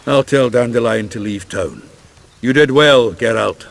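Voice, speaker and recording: An actor playing a blunt, gruff male dwarf warrior in a video game, brash and colloquial. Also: medium pitch, measured pace, slight background noise. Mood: wry, satisfied.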